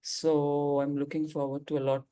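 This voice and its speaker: A woman's voice: sits low, flat delivery, normal speed, mellow, smooth. A woman teacher and examiner introducing herself carefully, correcting herself as she goes.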